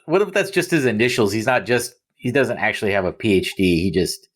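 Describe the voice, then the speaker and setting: A man's voice: fairly low pitch, sing-song, fairly quick. A man hosting a podcast in a casual, conversational way with joking asides. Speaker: podcast host. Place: remote recording.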